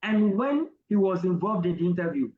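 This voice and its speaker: Nigerian man, middle-aged, deliberate anecdotal delivery, muffled roomy call, faint background noise. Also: high voice, very animated. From Nigeria, Ogun State. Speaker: interview guest, university professor. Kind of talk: personal anecdote in an academic interview.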